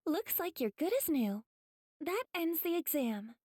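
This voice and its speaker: A woman playing a gentle, polite young female demon slayer mentor in an anime game, soft-spoken with a teasing sweetness. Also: high voice, big pitch swings, measured pace. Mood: calm, kind.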